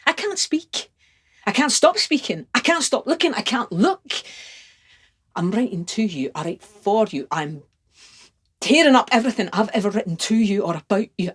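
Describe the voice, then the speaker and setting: A woman playing a tormented, lovestruck poetic suitor in an impassioned stage monologue, husky and often breathy, in short repeated phrases. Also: lowish voice, darkish tone.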